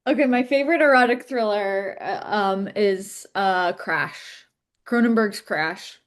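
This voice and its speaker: A woman's voice: mid-range voice, a little slow. A woman, a film director, answering an interview question playfully with some hesitation.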